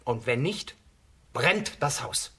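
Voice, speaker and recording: A surly, threatening peasant son in a children's radio drama. His mid-pitched voice is forceful and a little hoarse, with curt delivery. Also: big pitch swings, slow, exaggerated voice. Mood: menacing. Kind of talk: German children's radio play acting.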